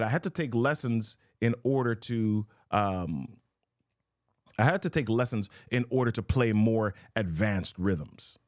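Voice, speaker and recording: Man, motivational host, emphatic and repetitive, middle pitch, dry close sound. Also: no echo. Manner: emphatic, repeats key phrases as questions. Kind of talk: motivational live-stream talk.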